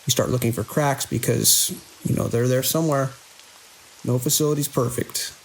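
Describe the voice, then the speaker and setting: Actor as gravelly, weary night security chief. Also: male voice, mid-range voice, steady tone, normal speed, natural voice, low-quality recording. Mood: reflective. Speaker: security lead. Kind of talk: audio drama, logs and radio dialogue. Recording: hiss and rain-like noise.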